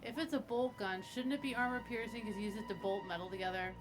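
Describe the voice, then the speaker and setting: Sassy woman, mid pitch, music behind her. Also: fairly even intonation, fast. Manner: wry, sassy. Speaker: player in a tabletop game. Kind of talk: casual chat.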